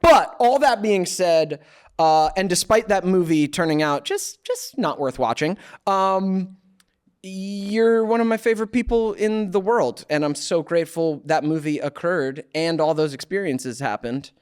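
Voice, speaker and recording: Relaxed joking male podcast host. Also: high-pitched, unhurried, clear, resonant tone. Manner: relaxed, joking, trailing off into soft sincere asides. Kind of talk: casual interview chat.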